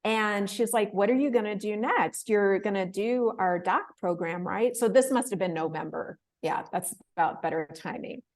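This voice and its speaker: A woman in her thirties, a guest in an interview, telling her story in a casual, animated way, laughing often and acting out the voices of people she quotes. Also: medium pitch, normal speed, hardly any pauses. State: emotional, close to tears. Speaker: interview guest, fellowship winner.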